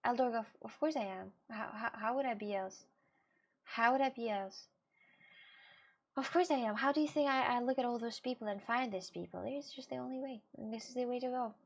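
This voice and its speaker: A woman's voice: fairly high pitch, fairly quick. A woman from Russia in her twenties, chatting casually and animatedly on a live stream, often repeating herself.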